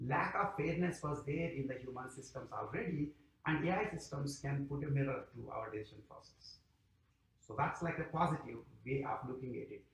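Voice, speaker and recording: A man's voice: fairly high pitch, vocal fry, a bit bright. A man with an Indian accent giving a conversational public lecture, full of "you know" and "um" fillers. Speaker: invited lecturer. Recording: echoey hall with faint noise.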